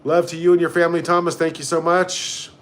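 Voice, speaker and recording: A man's voice: a little high, flat delivery, a little fast. A man hosting a casual live stream, reading out comments and reacting in a blunt, sarcastic, sweary way. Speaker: livestream host.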